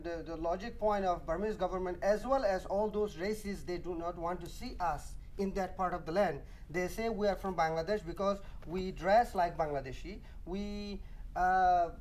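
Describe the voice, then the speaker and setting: A man's voice: high voice, a little mellow, poor audio. Earnest man, faint background hiss. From Arakan, Burma. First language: Rohingya. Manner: earnest, emphatic, piles up lists and repeats points. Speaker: guest, human rights activist. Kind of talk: studio TV interview. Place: TV studio.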